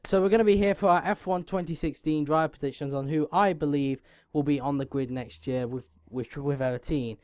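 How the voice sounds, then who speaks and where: A young man hosts his channel, chatting on despite a sore throat and a croaky voice. His voice sits fairly high and still has a smooth core under the rasp. He clears his throat often, and the sound is close and dry with no room echo. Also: fairly even intonation, a little fast, hardly any pauses, a little mellow. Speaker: YouTube racing channel host. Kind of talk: casual commentary to viewers.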